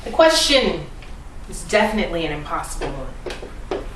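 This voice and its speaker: A woman performing a dramatic monologue as a defiant, oppressed defendant, speaking deliberately and solemnly with weighted pauses. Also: fairly low pitch, sing-song, a bit bright. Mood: solemn defiance. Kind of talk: dramatic courtroom monologue reading. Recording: roomy, distant mic with faint noise.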